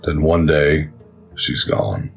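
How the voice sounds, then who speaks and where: An actor playing a somber, guilt-ridden older brother and military veteran in a gritty crime audio drama. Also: male voice, rumbling low, takes it slowly, creaky, soft background music, exaggerated voice.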